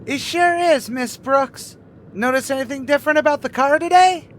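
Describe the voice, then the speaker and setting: An eager, goofy teenage boy in a vintage radio sitcom. His voice is very high and squeaky for a male, with faint car noise behind it. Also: normal speed, a bit bright. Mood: eager, sheepish. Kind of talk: 1950s radio sitcom acting.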